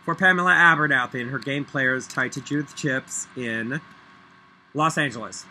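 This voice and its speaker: A man's voice: mid-range voice, moderate pace, a little hiss or hum, low-quality recording. A middle-aged man ranting angrily and rambling into a webcam at home, swearing often and breaking into songs. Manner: angry, profane, rambling; breaks into loud off-key singing. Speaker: vlogger. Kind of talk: rambling webcam monologue with singing. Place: small room at home, indoors. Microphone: laptop webcam.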